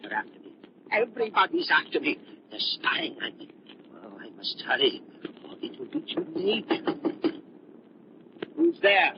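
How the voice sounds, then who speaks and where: An actor playing an embittered, paranoid older scientist who feigns kindness, in theatrical 1930s radio melodrama style. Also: male voice, a little high, sing-song, normal speed, long pauses. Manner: theatrical, volatile, swinging from soothing to ranting. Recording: muffled, lightly noisy 1930s radio recording.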